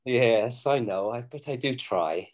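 A man of middle pitch chats over a beer. He stumbles over his words and restarts them, and he gently pokes fun at himself. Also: expressive, unhurried. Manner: chatty, hesitant, self-deprecating. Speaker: beer podcast co-host. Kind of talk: casual chat reviewing beer.

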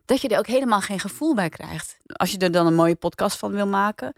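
A woman's voice: medium pitch, lively intonation, fairly quick, gravelly, no room sound, clean studio sound. A woman hosting a casual podcast review chat, speaking in a blunt, critical way.

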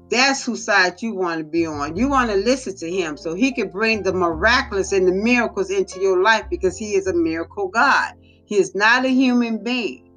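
A woman hosting a devotional podcast, giving an informal sermon in an earnest, exhorting way, repeating phrases for emphasis, her voice slightly hoarse. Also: mid-range voice, fairly bright tone.

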